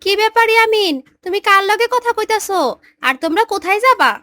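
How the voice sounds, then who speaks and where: A woman's voice: extremely high pitch, fast, sharp tone, no echo, clean studio sound. A woman voicing a kindly, pious young aunt in a family cartoon, with a colloquial Bangladeshi accent. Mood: approving. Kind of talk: Bengali cartoon dubbing.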